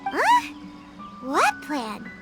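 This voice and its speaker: A woman voicing a small, childlike, excitable fairy companion in a video game, squeaky and exclamatory. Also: drawn-out words, heavily acted. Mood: puzzled. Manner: refers to self in third person, exclamatory. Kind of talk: English video game voice acting. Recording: game music behind the voice.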